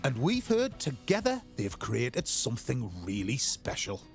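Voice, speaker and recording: A man's voice: mid-range voice, huge pitch swings, unhurried, creaky, faint music behind. A man narrating a TV travel and food show in scripted voice-over.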